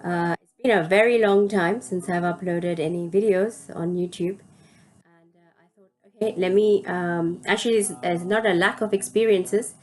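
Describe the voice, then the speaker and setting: A woman from India, hosting her own spiritual channel and telling a personal story in a reflective, halting way. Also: lowish voice, fairly even intonation, darkish tone, low-quality recording. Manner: reflective, halting, searching for words.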